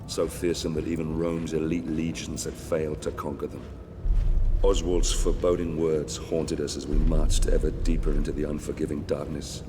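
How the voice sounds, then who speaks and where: In long reverb over clear noise, a grave Roman soldier telling his war story in a game. His voice is extremely low and steady, solemn and full of foreboding. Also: moderate pace. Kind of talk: dramatic scripted story narration.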